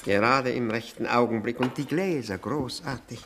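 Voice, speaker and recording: An actor playing a bitter cuckolded widower in a 1960s German radio drama, fawning and self-abasing, then needling with mock courtesy. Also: male voice, mid-range voice, noisy background, close to an everyday voice. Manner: mock-courteous, insinuating, with drawn-out words and needling questions.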